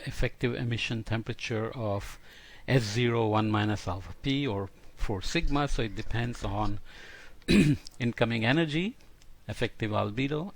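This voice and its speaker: A man lecturing in an explanatory, podcast-style science lesson, thinking aloud as he works through each step with some hesitation. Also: medium pitch.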